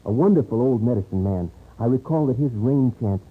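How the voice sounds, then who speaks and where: A man's voice: mid-range voice, animated, breathy edge. A man playing an earnest, loyal young frontier man who speaks formally in a radio western. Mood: earnest, determined. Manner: formal, measured, few contractions. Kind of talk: 1950s radio western acting. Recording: tinny old radio recording.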